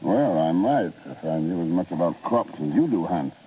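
Secret agent, lowish voice, dry, clipped, commanding, 1950s radio drama, faintly noisy old recording. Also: male voice, animated, normal speed, dark tone. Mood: polite, understated. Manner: dry, clipped, self-assured. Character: cool, commanding American secret agent, a seasoned adventurer.

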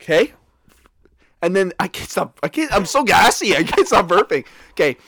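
A man's voice: high voice, big pitch swings, normal speed, vocal fry, a bit bright, slightly rough, dry recording, clean studio sound. A man co-hosting a podcast, rambling and crude in casual comedic chat, laughing a lot. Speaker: podcast co-host.

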